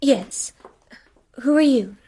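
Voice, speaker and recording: An actress playing a weary, grieving wife in a radio play, hushed and brooding, at times slipping into a whisper. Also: female voice, high voice, slow, breathy edge, full character voice. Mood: guarded.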